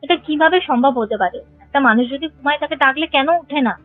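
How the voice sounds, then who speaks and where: A woman's voice: high voice, brisk. A woman caller telling of a haunting over the phone, earnest and scared, rambling as she recalls. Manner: fearful, earnest, rambling retelling. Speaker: caller telling a ghost experience. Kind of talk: phone-in storytelling. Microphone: phone call. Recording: tinny phone line with faint music.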